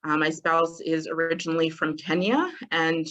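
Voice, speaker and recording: A woman reverend moderating a video panel, gentle and conversational as she hands the turn to the next speaker. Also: sits low, moderate pace, muffled recording. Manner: gentle, conversational, handing over turns. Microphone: video call.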